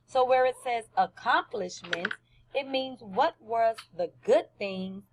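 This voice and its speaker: A woman teaching first graders through a read-aloud, speaking deliberately and with emphasis, often questioning the children. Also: medium pitch.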